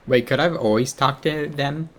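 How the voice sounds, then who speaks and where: A man's voice: mid-range voice, fairly even intonation, normal speed, a bit bright. A man reacting casually to a video game as a streamer, informal and given to mild swearing. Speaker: gaming streamer. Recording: game music behind commentary.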